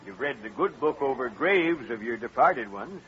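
A man's voice: mid-range voice, expressive, moderate pace, fairly bright tone, lightly acted. An actor playing a folksy, jovial frontier wagon-train man with a rustic American drawl in an old-time radio western. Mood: earnest. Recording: muffled old radio broadcast, crowd behind.

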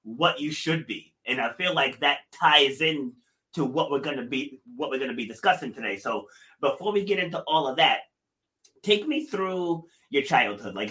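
A man hosting a casual podcast interview, energetic, often repeating words and laughing as he talks. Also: mid-range voice, poor audio. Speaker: podcast host, gym owner.

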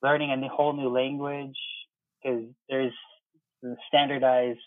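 A man's voice: medium pitch, level tone, unhurried, dry recording. A man in his thirties telling his own story casually as an interview guest, conversational and retelling exchanges in quoted speech, over a muffled phone-like line. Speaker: interview guest, air traffic controller.

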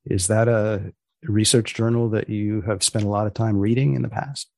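A man hosting a podcast, introducing and asking questions in a deliberate, deadpan manner. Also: lowish voice, creaky, no room sound. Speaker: podcast host and magazine editor.

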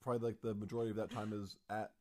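A young adult man with a deep voice, a podcast host who talks casually and hesitantly, restarting his sentences. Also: little pitch movement, racing, a little mellow.

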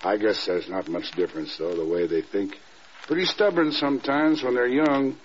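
An actor playing a loyal, middle-aged American air force adjutant, earnest and deferential, in classic radio drama. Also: male voice, on the low side, steady tone, moderate pace, natural voice. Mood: hesitant, earnest. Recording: dull, hissy old radio recording.

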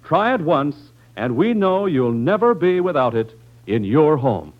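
A man's voice: on the high side, very animated, a little slow. A man reading a scripted commercial as an announcer on old-time radio. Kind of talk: vintage radio ad read. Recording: dull lo-fi vintage radio recording.

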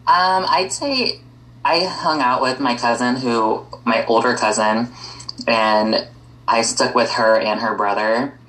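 A woman's voice: a bass voice, slow, a bit bright, poor audio. A woman speaking as a podcast guest in a casual conversation, easygoing and thinking aloud with frequent ums and likes.

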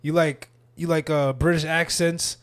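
A man's voice: on the high side, flat delivery, measured pace, smooth. Teasing, laughing man co-hosting a podcast. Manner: teasing, laughs often, repeats words. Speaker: podcast co-host. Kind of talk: casual banter.

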